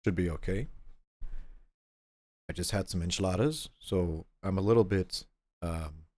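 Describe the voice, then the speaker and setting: A stuffed-up man short of breath, rambling casually as he streams a game and clears his throat often. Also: deep voice, unhurried, dark tone, no echo. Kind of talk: casual gameplay chat.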